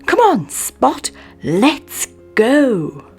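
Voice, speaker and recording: Woman voicing a cheery high-pitched reindeer. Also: wildly swooping pitch, drawn-out words, a little mellow, slightly rough, soft background music, heavily acted. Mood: cheerful. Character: cheerful, friendly storybook reindeer.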